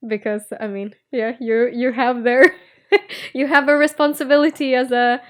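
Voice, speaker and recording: A woman who grew up in Spain speaks as a guest in a relaxed interview. Her voice sits a little high, and she talks in a chatty, opinionated way. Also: normal speed. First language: Russian. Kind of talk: casual conversational interview.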